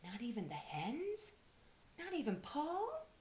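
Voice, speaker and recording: A woman's voice: high-pitched, huge pitch swings, takes it slowly, darkish tone, a little hiss or hum, pitched above the performer's own voice, exaggerated voice. A woman voicing a polite, eager, curious young male toy teddy bear from a children's storybook. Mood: curious, earnest.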